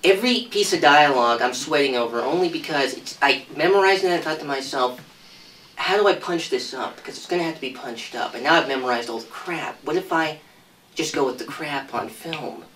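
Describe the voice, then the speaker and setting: A man's voice: on the high side, brisk, a bit bright, far from the mic, slight background noise. A man, an actor, complaining casually in an interview. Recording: echoey, off-mic, music behind.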